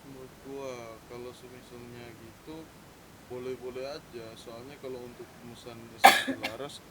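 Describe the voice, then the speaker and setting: A man's voice: flat delivery, normal speed. Frank man reasoning on noisy voice chat. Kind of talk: casual in-game roleplay group discussion. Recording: clearly noisy game voice chat, low rumble.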